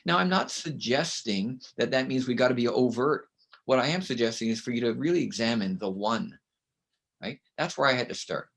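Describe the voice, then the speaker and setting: A middle-aged man from Canada with a loud voice, speaking as a guest in an interview. He is emphatic and tells stories, and he often ends his points with a quick "right?". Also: mid-range voice, lively intonation, gravelly. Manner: emphatic, storytelling, with frequent "right?" tags. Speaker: guest author and consultant. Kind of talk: conversational interview.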